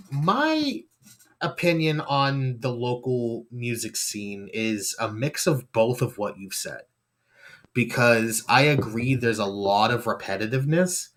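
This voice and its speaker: A man hosting a relaxed podcast chat, his voice bright and forward. He keeps it casual and laid-back, often checking in with his listeners. His pitch is middling for a man. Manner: animated, laid-back, peppered with "you know what I mean". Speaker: podcast host, rapper.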